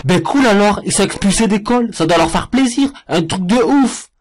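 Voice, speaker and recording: A man's voice: high-pitched, expressive, moderate pace. A man giving jokey, sarcastic commentary on cartoon clips. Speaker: cartoon reviewer. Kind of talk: mocking commentary over clips.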